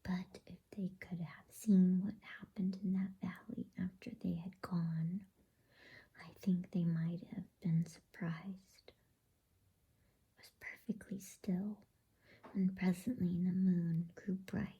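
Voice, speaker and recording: A woman reading a bedtime story in a soft, unhurried ASMR whisper. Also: on the low side, flat delivery. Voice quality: breathy whisper.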